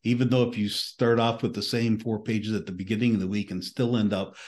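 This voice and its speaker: A man's voice: on the low side, steady tone, a little fast, a little mellow. An older man with a Canadian accent, hosting an interview podcast over a video call in an easygoing way. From eastern Canada. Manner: easygoing, laughs readily. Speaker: podcast host. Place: home room with bookshelves. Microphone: headset, video call.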